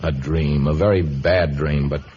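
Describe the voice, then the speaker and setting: An actor playing an earnest, protective jungle hero with a measured, melodramatic delivery in an old radio serial. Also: male voice, very deep voice, steady tone, warm tone, a little hiss or hum. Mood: earnest, consoling. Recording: muffled vintage radio recording, background din.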